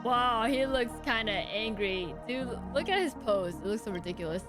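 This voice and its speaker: A woman's voice: fairly high pitch, animated, moderate pace. A young woman streamer giving casual, gushing, exclaiming reactions to a game. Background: game music.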